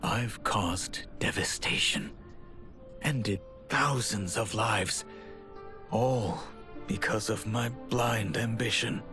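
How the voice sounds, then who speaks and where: Man acting a grave, slow-spoken high mage. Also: lightly acted. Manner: formal, grave, measured. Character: proud male high mage, solemn and remorseful. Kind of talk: dramatic scripted game cutscene narration. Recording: loud music under the voice.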